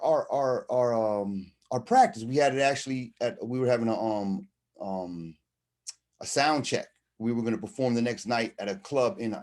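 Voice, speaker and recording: A middle-aged man telling a personal story in a casual monologue, halting and rambling, with slangy conversational asides. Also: mid-range voice, darkish tone. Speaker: storyteller explaining a term from experience.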